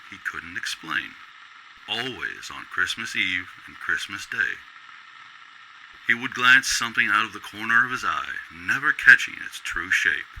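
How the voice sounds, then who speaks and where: A man reading scripted narration for a radio drama in a measured, descriptive storytelling manner. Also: on the low side, low-quality recording. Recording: loud background noise.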